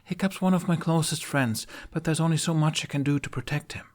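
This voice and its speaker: A man's voice: on the high side, fairly quick, voice pushed up, lightly acted. A man voicing an earnest, stubborn young female knight with an emphatic, imploring delivery in an audio story. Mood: determined, pleading.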